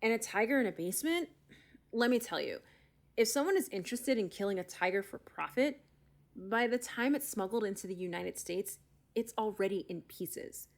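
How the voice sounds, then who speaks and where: An actress playing a brisk, skeptical but helpful animal expert, speaking matter-of-factly in an audio drama. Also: female voice, mid-range voice, expressive, vocal fry. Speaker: outside expert being interviewed.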